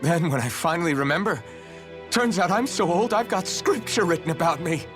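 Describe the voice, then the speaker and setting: An actor playing an anguished, guilt-ridden young warrior in a video game, speaking in a brooding, earnest way. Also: male voice, fairly high pitch, animated, brisk, close to an everyday voice. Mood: bitter, distraught. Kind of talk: dramatic video game voice acting. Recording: background music.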